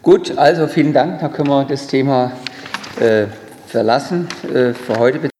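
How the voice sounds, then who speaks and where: A man's voice: mid-range voice, moderate pace, dark tone. A man chairing a committee meeting, moderating informally. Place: indoor meeting room. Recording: reverberant noisy hall with clicks.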